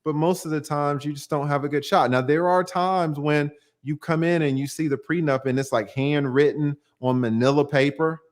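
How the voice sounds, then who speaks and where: A man with a fairly high voice explains divorce law to callers on a livestream. He speaks plainly as an attorney and keeps pulling in everyday examples to make his points. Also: fairly even intonation, normal speed, few pauses.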